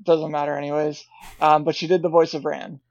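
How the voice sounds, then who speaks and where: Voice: mid-range voice, little pitch movement, fairly quick, vocal fry, darkish tone, highs cut off, slight background noise. A podcast co-host in casual chat, hesitant and full of fillers.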